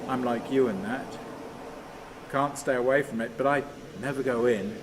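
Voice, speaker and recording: Behind clear ambient noise, an actor plays a haunted, brooding man in a radio drama. His mid-pitched voice is halting and restless, repeating itself as it moves between muttering and command. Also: lightly acted. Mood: rambling, bitter reminiscence. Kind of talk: theatrical radio play monologue. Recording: clearly noisy, steady ambient sound.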